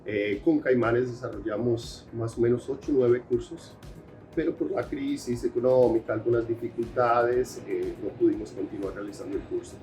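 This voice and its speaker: A man's voice: mid-range voice, moderate pace, a little mellow, faint music behind. A Colombian man with an accent tinged by years in Brazil, answering interview questions as a researcher, with many fillers and restarts. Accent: Colombian Spanish with Brazilian Portuguese traces. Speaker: researcher guest.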